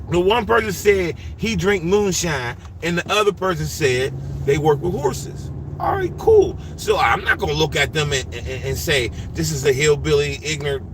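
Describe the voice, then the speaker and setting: A man's voice: on the high side, normal speed, background noise. A man in his forties talking casually in a rambling, repetitive way from inside a vehicle. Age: 40s. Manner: rambling, animated and repetitive, restarts often. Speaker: livestreamer and driver. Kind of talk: casual monologue.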